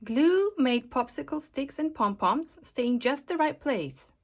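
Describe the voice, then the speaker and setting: Lady reading a kids picture book out loud, high voice, expressive and deliberate storytime narration. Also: a faint crowd. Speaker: storybook reader and host. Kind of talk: scripted children's storybook narration.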